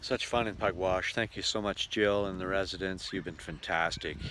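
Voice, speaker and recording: A man's voice: low-pitched, steady tone, normal speed, music in the background. A man talking casually to camera as a travel vlogger, recorded outdoors. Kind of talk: casual vlog narration to camera. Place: outdoors on a camping tour. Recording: noisy outdoor ambience.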